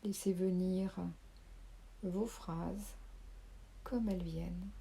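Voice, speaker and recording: Slow, husky woman guiding relaxation. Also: on the low side, low-quality recording. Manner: slow, soft, calming, with whispered phrases. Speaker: sophrology teacher. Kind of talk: guided relaxation.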